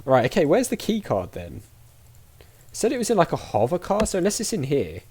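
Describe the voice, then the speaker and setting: A man's voice: fairly high pitch, wildly swooping pitch, brisk, a little mellow, a little hiss or hum. A man giving casual let's play commentary, thinking aloud in a chatty way as he plays.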